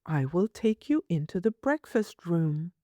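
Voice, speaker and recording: A woman voicing a brisk, scolding but fond nursemaid in a storybook, with old-fashioned turns of phrase. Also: on the low side, big pitch swings, dark tone, no echo. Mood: patient, firm.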